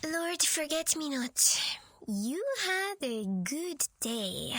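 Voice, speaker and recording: A woman's voice: high-pitched, very animated, drawn-out words, a bit bright, exaggerated voice. An actress playing a languid, smug villain's henchwoman in a video game, drawling in a teasing way. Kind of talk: scripted game voice acting. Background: faint background music.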